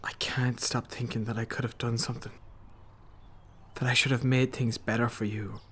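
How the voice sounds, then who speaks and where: A man playing a caring, guilt-ridden young ex-boyfriend in a radio drama, hesitant, earnest and husky. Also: mid-range voice, little pitch movement, normal speed, fairly bright tone, a little hiss or hum, lightly acted. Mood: guilty, tender. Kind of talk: naturalistic radio drama acting.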